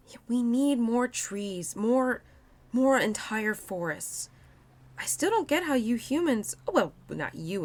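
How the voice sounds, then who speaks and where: Woman playing an animated, playful autumn spirit girl. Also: on the high side, exaggerated voice. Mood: earnest, gladdened. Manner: expressive, bubbly, giggly, naive about humans. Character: playful, caring, excitable young female spirit of autumn. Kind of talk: intimate girlfriend audio roleplay.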